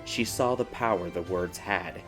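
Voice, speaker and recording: Low-voiced man narrating a dark fairy tale. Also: measured pace. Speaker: audiobook narrator. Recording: background music behind the voice.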